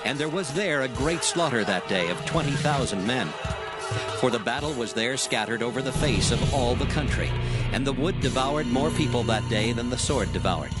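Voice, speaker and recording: A man narrating dramatized scripture in a measured, formal reading style. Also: medium pitch, lively intonation, loud music behind the voice.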